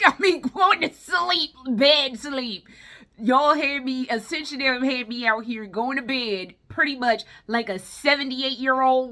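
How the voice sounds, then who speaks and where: Bright-voiced woman rambling bluntly on a vlog. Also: high voice. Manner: blunt, profane, conversational. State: tired. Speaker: video game and movie vlogger. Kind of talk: casual rambling solo review vlog.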